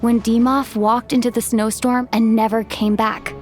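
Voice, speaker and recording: A woman playing an earnest young female sleuth in a game dub, reasoning deliberately and with determination, step by step. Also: fairly high pitch, little pitch movement, faint music behind, natural voice. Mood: determined, reasoning. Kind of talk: dramatic game dub acting.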